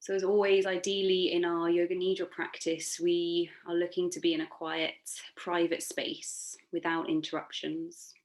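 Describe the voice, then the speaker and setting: A woman yoga instructor leading a guided relaxation meditation in a soothing, gently encouraging, unforced manner. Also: on the low side, flat delivery, moderate pace, few pauses, poor audio.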